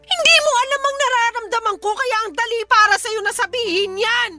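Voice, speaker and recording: Super high sharp-voiced filipina mom, desperate n demanding, radio drama, indignant. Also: female voice, brisk, soft background music. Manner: indignant, pleading, insistent. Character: desperate, demanding young mother betrayed by a folk healer.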